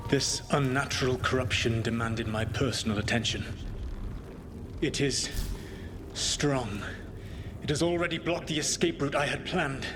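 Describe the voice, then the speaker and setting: A man voicing a grave, commanding ancient elven rebel leader with formal, measured phrasing in a video game. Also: mid-range voice, natural voice. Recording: noisy ambience behind the voice.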